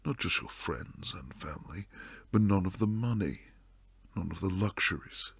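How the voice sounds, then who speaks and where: A man playing a protective, devoted bodyguard and secret lover in an intimate boyfriend roleplay, low and husky, speaking earnestly close to the mic. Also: expressive, moderate pace, fairly bright tone, dry recording, exaggerated voice. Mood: pleading, tender. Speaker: audio roleplay performer. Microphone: close, dry studio mic.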